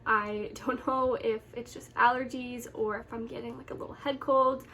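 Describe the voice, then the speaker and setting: A woman's voice: fairly high pitch, fairly even intonation, normal speed, pushed and bright. An American woman in her early twenties chatting casually to viewers as a vlogger, rambling along with a slightly congested, nasal voice. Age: early 20s. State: congested with sore throat. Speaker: lifestyle vlogger.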